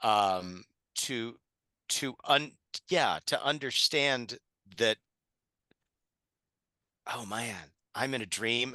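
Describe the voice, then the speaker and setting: A man in a casual panel discussion, thinking aloud in a halting way. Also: mid-range voice, bright, forceful tone, no room sound. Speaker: theater artist on a panel.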